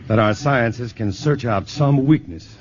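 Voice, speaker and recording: Lead scientist, male, steady and resolute, played by an actor; old radio broadcast sound. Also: medium pitch, a little slow, slight background noise, natural voice. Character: calm male scientist leading the team. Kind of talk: 1950s radio drama acting. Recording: muffled vintage radio broadcast.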